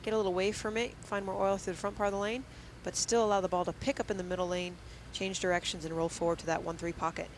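A woman doing color commentary on a bowling match, explaining technique in steady, instructive detail. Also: on the low side, fast talker, noisy background. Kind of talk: analytical sports commentary. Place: commentary booth at a bowling arena.

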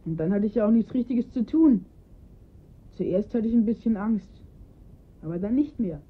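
A woman's voice: mid-range voice, lively intonation, moderate pace, breathy edge. A woman playing an observant, earnest young boy in a radio crime drama, recounting details precisely. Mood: modest. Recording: muffled phone-like band-limited sound.

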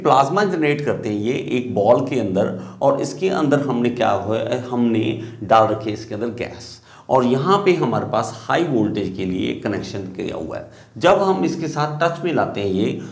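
A young man giving an engineering lesson in front of a screen, animated, asking questions and answering them himself, on a lapel mic. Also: mid-range voice, gravelly. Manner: animated, gestures at a screen, asks and answers his own questions. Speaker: engineering teacher. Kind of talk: classroom lecture. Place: small room in front of a TV screen. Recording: echoey room.

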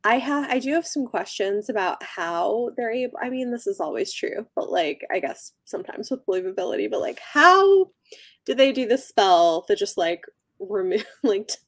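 A woman's voice: mid-range voice, very animated, normal speed, no echo, clean studio sound. Young woman chatting casually as co-host. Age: young adult. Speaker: book livestream co-host. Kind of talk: casual chat, reacting to live comments. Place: small book-lined room at home, on a video call.

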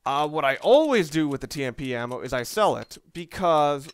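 A man giving unscripted gameplay commentary, explaining things in a halting, analytical way. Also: a little high, expressive, clear, resonant tone. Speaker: let's play commentator. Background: video game sounds and music.

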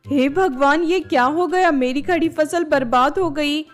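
A woman's voice: sits high, unhurried, soft background music, voice pushed up. Woman acting an anxious, plaintive village mother. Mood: despairing. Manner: plaintive, worried. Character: poor widowed village mother, anxious and pleading.

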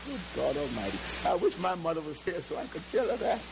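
A man's voice: fairly high pitch, very animated, gravelly, heavy background noise. Older man preaching emphatically. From Trinidad. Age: 70s. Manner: emphatic, drawn-out preaching with shouted exclamations; moves and gestures around the pulpit. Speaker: pastor. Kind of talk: sermon. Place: church stage with drum kit. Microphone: headset mic.

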